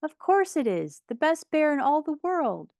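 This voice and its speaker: An eager young boy from a children's story, voiced by a woman. He speaks high and earnestly, exclaiming with cheerful authority, close and dry on the recording. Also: expressive, moderate pace, dry recording. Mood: excited.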